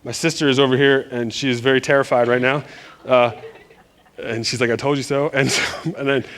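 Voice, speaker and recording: A man from Northern Virginia preaching in a church, telling a story in a loose, animated, self-deprecating way with lots of restarts. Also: medium pitch, a little fast. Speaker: pastor. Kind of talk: casual storytelling sermon.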